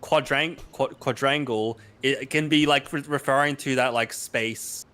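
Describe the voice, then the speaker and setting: A man chatting casually during a group game session, halting and repeating himself as he explains things. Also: medium pitch, fairly even intonation, sharp tone. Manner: halting, repetitive when explaining. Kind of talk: casual group gaming chat.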